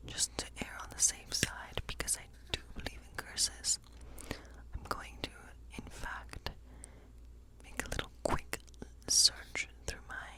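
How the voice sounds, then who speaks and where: A woman performing a whispered ASMR role play as a whimsical witch reading tarot, musing softly in a breathy, unhurried whisper. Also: poor audio.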